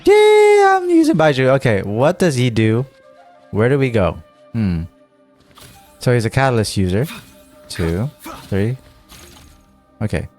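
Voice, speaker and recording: A man's voice: medium pitch, huge pitch swings, unhurried, pauses often, darkish tone, faint music behind. A man giving casual gameplay commentary as a streamer, thinking aloud and reading game text. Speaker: gaming streamer.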